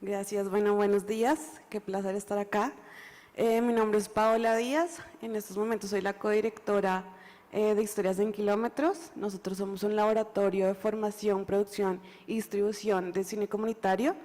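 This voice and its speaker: A woman from Colombia introducing herself on a panel in a composed, even manner. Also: medium pitch, moderate pace. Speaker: panelist, co-director. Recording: reverberant hall.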